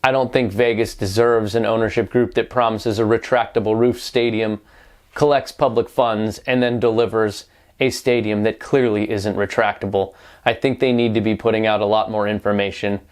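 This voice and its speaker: A man's voice: on the low side, level tone, normal speed. Man giving pointed sports commentary. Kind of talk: opinionated video news commentary.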